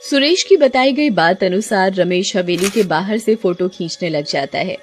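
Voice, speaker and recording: A woman's voice: medium pitch, expressive, normal speed, faint music behind. A woman giving scripted storybook narration for a cartoon.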